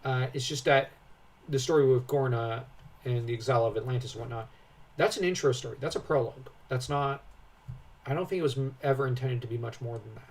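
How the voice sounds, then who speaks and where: A man with an average-pitched voice talks through his views as a co-host. His commentary is casual, opinionated and explanatory, recorded somewhat far from the mic. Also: fairly quick, darkish tone.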